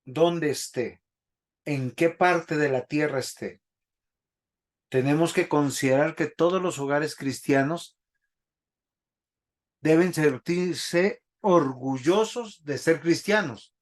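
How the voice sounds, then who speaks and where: A man's voice: on the high side, takes it slowly, long pauses. A man teaching a Bible class in a church, preaching and putting questions to the congregation. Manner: didactic, asks the congregation questions.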